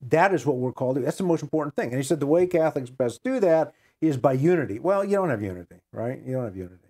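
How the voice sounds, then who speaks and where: A man's voice: a little high, expressive, fast, dark tone, husky. An American man in his sixties giving conversational political and religious commentary as a guest, repeating key phrases and asking rhetorical questions. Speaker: interview guest. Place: small book-lined room indoors. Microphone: lapel mic.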